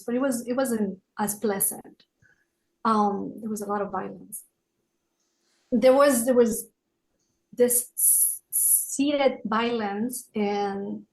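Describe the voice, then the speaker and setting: Higher-voiced Peruvian woman, halting phrasing. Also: a little mellow, rough recording. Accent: Peruvian Spanish. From Peru, raised in Lima. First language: Spanish. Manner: searching for words, halting phrasing. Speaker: podcast guest, author and wellness consultant. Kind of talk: reflective interview answers.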